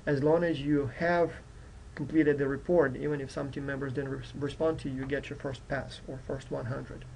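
A man's voice: medium pitch, little pitch movement, normal speed, creaky, darkish tone, a little hiss or hum, rough recording. A man who is a non-native English speaker, giving an informal, explanatory course introduction as a university instructor. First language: not English, which is his fourth language. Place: indoors at a computer.

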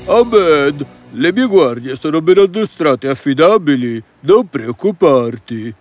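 A man's voice: fairly high pitch, very animated, takes it slowly, faint music behind. A man playing a confident, gracious king in a children's fairy tale, speaking in a regal manner. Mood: reassuring.

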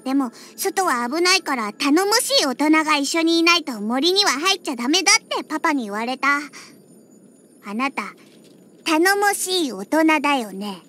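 High, bright, pouty little catgirl. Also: lively intonation, moderate pace. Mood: affectionate, shy. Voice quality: high, childlike, cutesy voice. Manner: pouty, huffy, with cat-like verbal tics. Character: feisty little catgirl bartender who hates alcohol. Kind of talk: Japanese anime game character acting. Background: background music.

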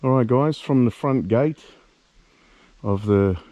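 A man's voice: slow pace, dry recording. A man, vlogging casually as he explores and drives, thinking aloud and swearing at other drivers. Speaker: travel vlogger. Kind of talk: casual walking and driving vlog. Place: abandoned building, then inside a car. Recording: dull lo-fi camera audio with loud engine rumble.

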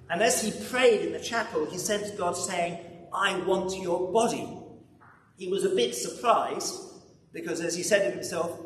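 An older man delivers a sermon from a lectern in a big, reverberant church. His pace is very slow and dramatic, with swings between forceful and hushed phrases. He sounds set back from the mic, with some noise around him. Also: sits high. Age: 60s or older. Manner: dramatic, swelling to loud then dropping very quiet. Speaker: preacher. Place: large church interior, at lectern. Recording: roomy echoing church, distant, background noise.

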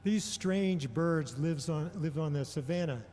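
A middle-aged man delivering a prepared homily in a measured, deliberate way, pausing often between phrases. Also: a little high, darkish tone, slight background noise. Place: large indoor church or hall. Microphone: gooseneck microphone on a lectern. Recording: long reverberation of a large hall.

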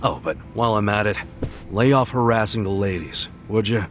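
A man's voice: lowish voice, normal speed, fairly bright tone, lightly acted. Actor as easygoing, good-natured guy, music behind. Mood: friendly. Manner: casual, colloquial. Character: good-natured, plain-spoken man, loyal and easily flustered. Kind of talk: video game voice acting.

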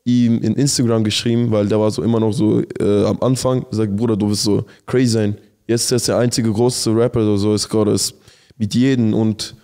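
Halting man. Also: lowish voice, little pitch movement, dark tone. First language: Russian. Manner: halting, repeats words, street slang. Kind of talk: casual chat.

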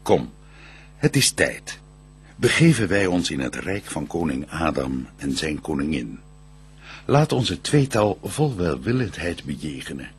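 An actor playing a solemn, reverent archangel, declaiming verse in a stately, measured manner in a radio play. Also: male voice, very deep voice, slightly rough, full character voice.

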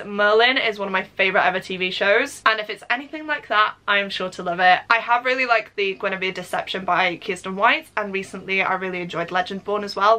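A young Welsh woman, Welsh her first language, talks eagerly through a pile of new books. Her voice is bright and clear at a mid pitch, and she recorded it at home in a room lined with bookshelves. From Wales. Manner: chatty and enthusiastic, reads synopses aloud. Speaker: book vlogger. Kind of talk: casual unboxing chat.

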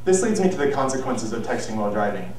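A young man giving an earnest, measured persuasive speech on an auditorium stage, heard from a far camera. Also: medium pitch, very animated, a little mellow. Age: young adult. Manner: earnest, measured, with some restarts. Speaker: student speaker. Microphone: far camera, no visible mic. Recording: very echoey hall, distant mic, faint noise.

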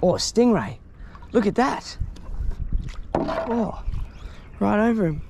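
Excitable young Australian man, creaky voice. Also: high-pitched, takes it slowly, background noise. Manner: excitable, colloquial. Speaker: fishing vlogger. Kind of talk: casual live vlog commentary. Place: outdoors on paddle board on river. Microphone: body-mounted action camera.

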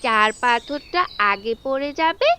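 A woman plays a young big-sister doll in a puppet show, sweet and a bit bossy. The voice is high and bright, and she spells things out slowly for little ones. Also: animated, slight background noise, heavily acted. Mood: playful, instructing. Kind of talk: children's puppet-show storytelling.

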